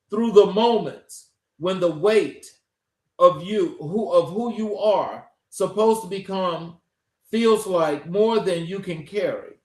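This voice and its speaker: A man with a notably high voice hosts an interview show. His phrasing is deliberate and oratorical, like a prepared introduction, and the sound is clearly roomy and echoing. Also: a little mellow. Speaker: show host. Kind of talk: scripted introduction and interview hosting.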